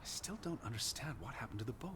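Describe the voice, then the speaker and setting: A man voicing an earnest, anxious American naturalist in a video game, speaking in a measured, brooding, formal way. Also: medium pitch, expressive, fairly bright tone, slight background noise, close to an everyday voice. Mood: uneasy, puzzled. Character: earnest anxious American male naturalist searching for his sister. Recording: music behind the voice.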